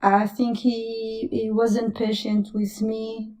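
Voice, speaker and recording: Italian-accented woman, slow and halting. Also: fairly high pitch, monotone, a little mellow, rough recording. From Italy. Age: 30s. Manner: halting, many fillers and restarts, laughs often. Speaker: caller. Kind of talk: casual live call-in chat.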